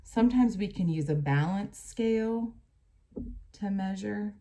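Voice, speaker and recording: A woman's voice: mid-range voice, a little slow, warm tone, a little hiss or hum. An American woman reads a lesson aloud as a teacher, adding plain explanatory asides addressed directly to children. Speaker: teacher reading a book aloud to pupils.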